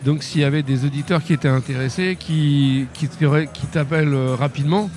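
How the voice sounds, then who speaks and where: A man co-hosting a casual radio interview, brisk and encouraging. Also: fairly high pitch, fairly even intonation, darkish tone, faint music behind. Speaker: radio co-host.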